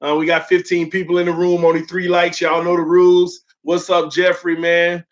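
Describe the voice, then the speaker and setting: Young man, livestream host, slightly high voice, flat delivery, sore voice after being sick, dull lo-fi audio. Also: moderate pace. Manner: loose and friendly, heavy "um" fillers, calls viewers "man" and "y'all". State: recovering from illness, voice still sore. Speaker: host of a sports fan livestream. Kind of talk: casual livestream chat, reading viewer comments.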